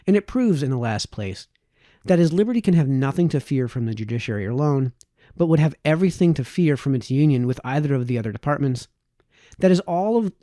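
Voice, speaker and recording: A man reading a formal historical text aloud in a measured way with emphatic phrasing. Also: medium pitch, dark tone, no room sound, studio quality. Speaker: reader, narrator.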